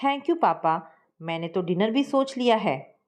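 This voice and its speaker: A woman's voice: mid-range voice, expressive, measured pace, a little mellow, close to an everyday voice. A woman voicing a cheerful, frank young daughter-in-law in a family story, speaking in a chirpy, plain-spoken way.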